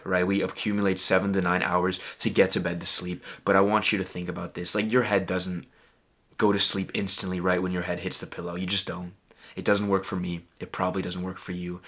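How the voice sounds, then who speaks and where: A man's voice: sits low, bright, forceful tone. A young man giving casual, earnest motivational advice to camera as a fitness vlogger, emphatic and peppered with "bro" and "literally.".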